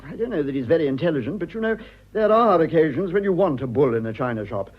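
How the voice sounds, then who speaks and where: Fussy, husky upper-class English husband, very expressive. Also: male voice, on the high side, fast talker. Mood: polite, fussy. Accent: British upper-class. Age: 30s. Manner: pompous, exasperated, prim. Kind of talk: 1920s drawing-room comedy in radio drama. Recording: dull lo-fi old radio recording.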